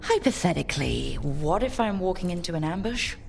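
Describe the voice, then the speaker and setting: Wry, husky-low game heroine. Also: female voice, animated, brisk, a little hiss or hum. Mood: wary. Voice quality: low, gravelly. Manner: dry, guarded, matter-of-fact. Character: wry, resolute young adventuring heroine. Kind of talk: natural video game dialogue acting.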